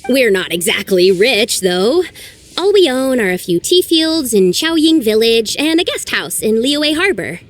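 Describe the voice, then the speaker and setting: A woman's voice: fairly high pitch, very animated, unhurried, faint music behind. A woman voicing an earnest young woman archaeologist who talks anxiously and sighs over her troubles. It is game dialogue. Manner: earnest and sighing. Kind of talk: game voice acting.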